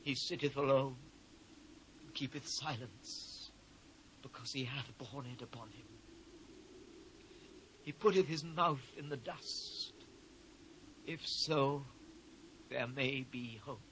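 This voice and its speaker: A British actor playing an anguished biblical prophet, giving a solemn, declamatory, theatrical scripture reading on an old cassette transfer. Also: male voice, mid-range voice, fairly bright tone, a little hiss or hum, rough recording. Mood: hushed solemn resignation. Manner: solemn, declamatory, measured phrasing. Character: anguished biblical prophet lamenting a fallen city.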